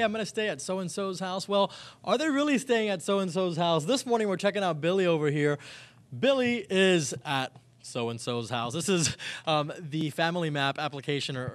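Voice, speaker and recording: Fast-talking male TV reporter, enthusiastic. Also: high-pitched. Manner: enthusiastic, chatty, informal. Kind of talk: live upbeat on-location segment with interview.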